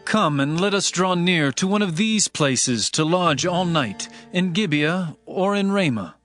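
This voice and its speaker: Man acting a higher-voiced, formal travelling master. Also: animated, a little slow, a bit bright, soft background music. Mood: firm, decided. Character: firm, courteous travelling master seeking lodging. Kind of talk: dramatized scripture reading in archaic English.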